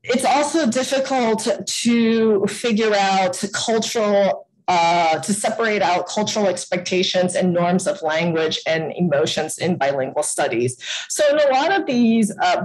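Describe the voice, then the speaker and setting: A woman's voice: fairly low pitch, moderate pace, poor audio. A woman giving an online lecture over a video call, talking casually with many fillers and restarts. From United States.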